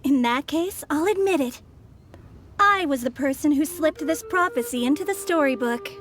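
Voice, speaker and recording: A woman's voice: sits high, expressive, moderate pace, fairly bright tone, soft background music. A woman playing a sly, polite young stranger with secrets in a video game, speaking formally. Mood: coolly confiding.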